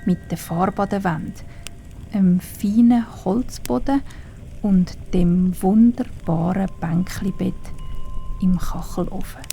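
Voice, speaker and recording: A woman with a Swiss German accent narrates a children's bedtime story in a hushed, soothing, breathy way with long pauses. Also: medium pitch, slight background noise.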